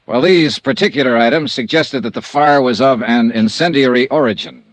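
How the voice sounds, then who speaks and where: An actor playing a composed American insurance investigator on the witness stand in a 1950s radio drama, measured, precise and matter-of-fact. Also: male voice, mid-range voice, no room sound, natural voice. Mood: composed, factual. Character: composed male freelance insurance investigator testifying in court.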